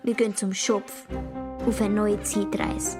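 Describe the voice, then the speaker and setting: A woman's voice: mid-range voice, little pitch movement, unhurried, music in the background, close to an everyday voice. A woman playing a bold, cheeky young girl with a Swiss accent in a children's radio play. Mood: excited, secretive.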